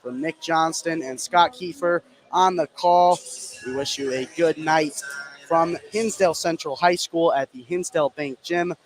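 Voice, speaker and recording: A man doing live play-by-play basketball commentary for radio from a high school gym. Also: fairly even intonation, a little slow, soft background music. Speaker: play-by-play sports announcer.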